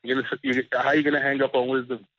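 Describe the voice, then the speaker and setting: A man's voice: mid-range voice, level tone, quick pace, fairly bright tone. A man from Oklahoma calling in to a podcast, boastful and repetitive, talking over the hosts on a phone line. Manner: boastful, repeats himself, talks over the hosts. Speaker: caller.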